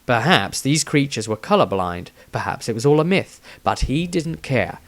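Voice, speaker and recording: A man's voice: mid-range voice, big pitch swings, normal speed, a bit bright. A man reading his own fantasy story aloud as a scripted, dramatic narration with a theatrical delivery.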